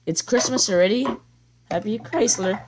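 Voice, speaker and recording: A young woman chatting casually with a friend while livestreaming a game, playful and quick to react. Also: medium pitch, background noise. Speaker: streamer playing a game. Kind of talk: casual gaming chat.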